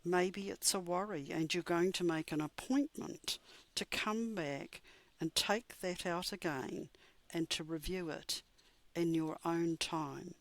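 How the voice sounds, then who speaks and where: A woman guides a meditation at a very unhurried pace. Her voice sits a little low and is soothing and measured as she gives gentle instructions. The recording is very dry and close, with no sense of room. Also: no echo, poor audio. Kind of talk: slow scripted guided meditation.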